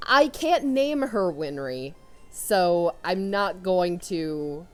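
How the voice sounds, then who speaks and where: Young woman, loud, excitable, lively swooping voice, gameplay commentary, faint music behind. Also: on the low side, drawn-out words. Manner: loud, excitable, talks to her character. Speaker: let's player.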